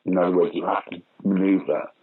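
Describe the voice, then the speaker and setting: A man's voice: sits low, a little fast, slightly rough, phone-quality sound. An older British man, a pantomime dame actor, telling stage stories in a casual interview. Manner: storytelling, animated anecdotes.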